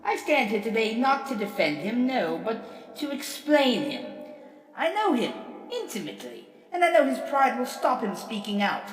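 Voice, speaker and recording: Vain, grandiose robot politician, played by a woman, oratorical and theatrical, lively intonation, distant with music behind. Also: fairly high pitch, normal speed. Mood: solemn, proud. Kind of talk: dramatic reading of a courtroom speech. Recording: echoey hall reverb, distant, music behind.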